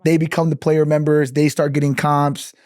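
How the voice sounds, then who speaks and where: A man who grew up in the Dominican Republic, a bar owner telling relaxed stories with lots of fillers in a casual podcast chat. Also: a little high, normal speed, dry recording, clean studio sound. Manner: relaxed, rambling storyteller with many fillers.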